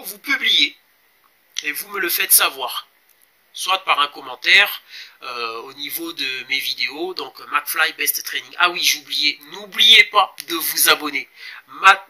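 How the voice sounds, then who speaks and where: Bright-voiced man in his forties, halting. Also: on the high side, expressive. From Congo. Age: 40s. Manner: hesitant, many pauses and fillers, urging viewers. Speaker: fitness vlogger. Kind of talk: casual explanatory vlog.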